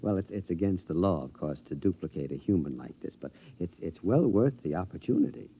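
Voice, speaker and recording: Henpecked American husband, middle-aged, 1950s radio drama. Conspiratorial, animated, furtive glee. Dull vintage sound. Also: male voice, low voice, a little fast, breathy edge, heavily acted. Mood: smug, scheming. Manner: animated, conspiratorial, stammering repetitions. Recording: dull old radio recording, faint noise.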